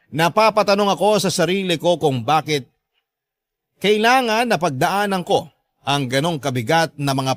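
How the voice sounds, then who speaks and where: A man's voice: fairly high pitch, dry recording, studio quality. A man playing a grateful, hard-tried young letter sender, narrating a radio drama in a heartfelt, confessional way with a Filipino accent.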